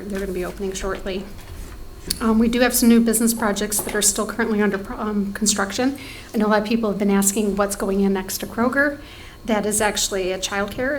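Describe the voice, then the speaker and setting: A woman's voice: mid-range voice, slight background noise, poor audio. A woman giving a report at a township board meeting, talking conversationally with many ums and restarts, her voice fading from allergies.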